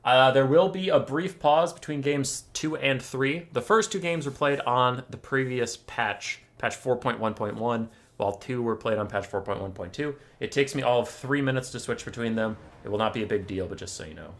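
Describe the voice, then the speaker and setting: A man's voice: mid-range voice, normal speed, few pauses. A man hosting a game tournament stream, chatting casually in a rambling, wry, self-mocking way and swearing offhand. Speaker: stream host and tournament caster. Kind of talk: casual livestream chat before casting. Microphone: desk mic, camera off.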